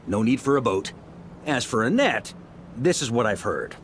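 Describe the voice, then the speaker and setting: An actor playing a cheerful, easygoing young knight who talks in a lively, chatty way. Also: male voice, mid-range voice, normal speed, a little hiss or hum, natural voice. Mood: explaining. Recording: game audio with music.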